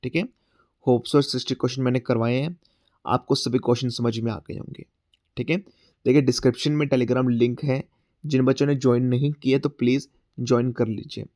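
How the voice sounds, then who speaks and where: A male current affairs teacher with a sore throat, going through exam quiz questions. He mixes Hindi and English, often says "theek hai", and reads the English question text slowly. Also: medium pitch, steady tone, darkish tone, no room sound, studio quality. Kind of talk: exam-prep class, explaining quiz questions.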